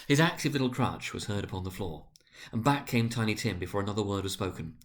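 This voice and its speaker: A man narrating a classic story as a dramatic reading, in an expressive, theatrical manner. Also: lowish voice, fast talker.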